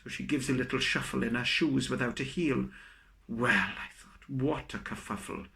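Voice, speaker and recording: A man reciting his own comic poem in a theatrical, expressive storytelling manner. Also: medium pitch, normal speed, creaky. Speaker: poet reading own work. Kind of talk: dramatic comic poetry recital.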